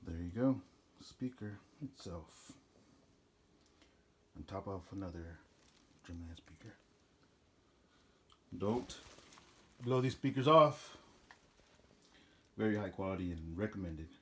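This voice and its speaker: A man's voice: low voice, lively intonation, takes it slowly, long pauses, slight background noise. A man giving a casual, plain-spoken product unboxing in the informal way of a working DJ presenter. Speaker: DJ rental business presenter.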